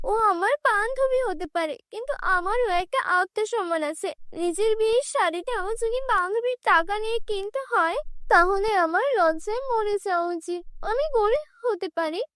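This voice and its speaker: A woman's voice: very high voice, expressive, normal speed, fairly bright tone, no echo, clean studio sound, voice pushed up. A woman voicing a proud, poor young village bride-to-be in a cartoon fairy tale, plaintive and emphatic. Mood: hurt, proud.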